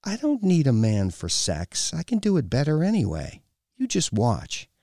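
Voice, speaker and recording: A man's voice: fairly high pitch, wildly swooping pitch, moderate pace, mellow, no echo, studio quality, pitched above the performer's own voice. A man voicing a stern, domineering foreign woman in an audiobook, with a commanding, clipped and scornful delivery. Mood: disdainful. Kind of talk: audiobook character dialogue.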